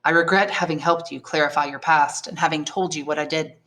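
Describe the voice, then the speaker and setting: A woman reading the part of a learned old male prisoner, a priest, shrewd and kindly, in deliberate, probing phrases. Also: low-pitched, steady tone, fairly bright tone. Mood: gentle, regretful. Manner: deliberate, probing questions and measured explanations.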